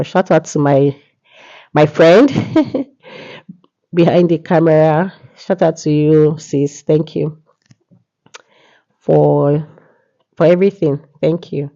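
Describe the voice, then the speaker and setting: A woman's voice: low voice, gravelly, dark tone, muffled recording. A woman vlogger with a cold and a congested voice, chatting casually and often saying "yeah" and "you know". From Nigeria. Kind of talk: casual market vlog narration.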